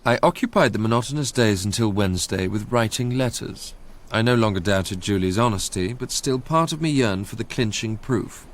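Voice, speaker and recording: An actor playing an educated, introspective Englishman narrates in a measured, literary way, with an English accent, in a radio drama. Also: on the low side, animated. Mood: reflective. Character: educated Englishman recalling his romantic obsession, wistful and introspective.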